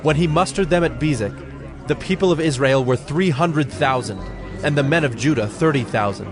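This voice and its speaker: A man narrating scripture from a script as a solemn, measured storyteller. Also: on the high side.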